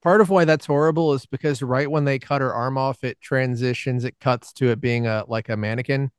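A man's voice: medium pitch, fairly even intonation, fairly quick, clean tone, no echo, clean studio sound. A man co-hosting a movie podcast in casual chat, rambling and laughing often, with a mixed American accent. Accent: mixed American with some New York. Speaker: podcast co-host.

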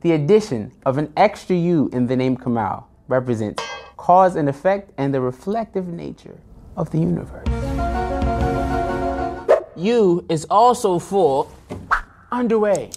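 A man, a musician, presenting a playful parody of children's educational television in a deliberate, theatrical manner. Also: fairly high pitch, expressive, over music.

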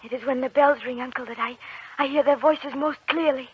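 A woman's voice: high-pitched, fairly even intonation, brisk, breathy edge. An actress playing Joan of Arc as an earnest, devout peasant girl in an old radio drama. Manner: earnest, reverent. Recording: dull old radio recording.

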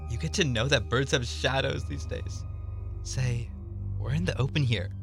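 A man's voice: mid-range voice, moderate pace, creaky, fairly bright tone, soft background music. A man playing an edgy, territorial survivor in a radio drama, blunt and suspicious in his delivery. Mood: wary, tense. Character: edgy, territorial armed stranger, embittered survivor.

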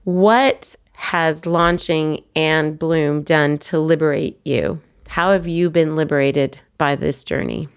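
A woman hosting a podcast, speaking in an earnest, measured and affirming way. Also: low voice, creaky, clean tone. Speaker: podcast host. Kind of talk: podcast interview and outro.

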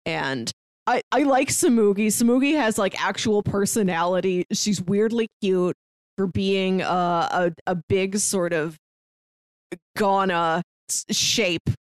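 A woman's voice: medium pitch, slow pace, no echo. Casual woman podcaster with a dry, close sound. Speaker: podcast co-host. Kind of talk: casual book discussion chat.

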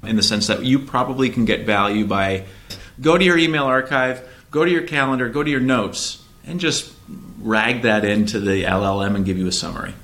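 A man's voice: on the low side, brisk, a bit bright. A man co-hosting a casual tech discussion, agreeable and often affirming, laying out his reasoning step by step. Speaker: podcast host, venture investor.

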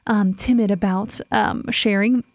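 A woman's voice: mid-range voice, steady tone, a little slow, dry recording. A woman, a kitchen director, giving upbeat promotional interview answers with frequent "um"s.

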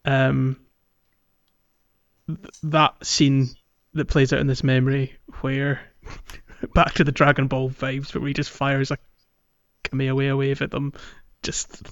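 A man's voice: medium pitch, fairly bright tone, clean tone, no room sound, highs cut off. A man co-hosting a podcast in a casual chat about a video game. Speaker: podcast co-host.

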